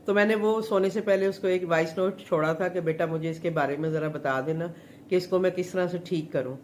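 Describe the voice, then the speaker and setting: A woman's voice: fairly low pitch, darkish tone. A middle-aged woman talks on a live stream from her home kitchen. Her throat is a little sore. She rambles with plenty of fillers, and there is a faint hum of room noise behind her. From Pakistan. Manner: chatty, rambling, many fillers. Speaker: home chef. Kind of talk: casual live chat.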